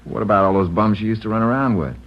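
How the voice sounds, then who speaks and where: An actor playing a defensive, resentful murder suspect under questioning, in vintage radio drama style. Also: male voice, lowish voice, quick pace, dull audio. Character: defensive, sullen male murder suspect, ex-sailor. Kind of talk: 1940s-50s radio drama acting.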